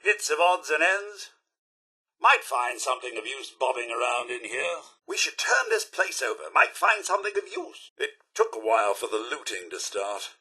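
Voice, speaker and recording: Posh-mannered british robot butler game companion, bright voice w a raspy edge, genteel n theatrical, fussy. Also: male voice, medium pitch, very animated, moderate pace, exaggerated voice, low-quality recording. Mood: chipper, courteous. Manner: genteel, theatrical, courteous, wry. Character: polite, fussy male robot butler, chipper yet wistful. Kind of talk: video game companion remarks.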